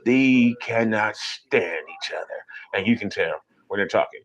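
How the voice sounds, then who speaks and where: Profane, animated male live-streamer. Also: unhurried. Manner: animated, profane, mocking, jumps into high mimicking voices. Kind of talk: casual live-stream rant.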